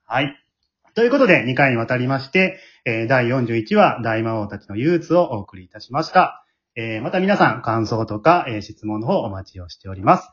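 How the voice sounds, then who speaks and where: A man's voice: mid-range voice, very animated, normal speed, fairly bright tone. A man with a Kansai accent hosting a casual after-talk, chatty and polite, often chiming in agreeably. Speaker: host of a radio drama after-talk. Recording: dull, muffled lo-fi sound.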